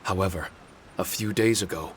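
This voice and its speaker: A man's voice: low voice, normal speed, slight background noise, lightly acted. A man voicing a polite, dutiful little paper spirit in a video game, formal, earnest and apologetic.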